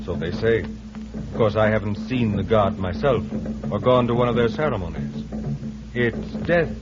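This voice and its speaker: An actor playing a lonely, haunted mining engineer with a brooding, ominous delivery in 1940s radio drama style. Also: male voice, lowish voice, expressive, normal speed, loud music behind the voice, natural voice. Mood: uneasy, ominous. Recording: muffled vintage radio recording.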